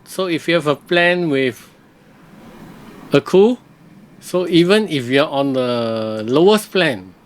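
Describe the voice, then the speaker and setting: Male tutorial host, fairly high-pitched, casual, hesitant step-by-step commentary. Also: expressive, takes it slowly, pauses often. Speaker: software reviewer, host.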